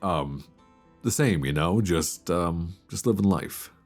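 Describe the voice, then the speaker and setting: A man playing a wistful, homesick young friend with a secret crush in an intimate romantic audio roleplay, deep-voiced, confiding and hesitant, close on a binaural mic. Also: lively intonation, slow pace, heavily acted. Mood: flustered, hesitant. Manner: hesitant, confiding, conversational with sighs. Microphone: close binaural microphone. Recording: close binaural recording with soft guitar music.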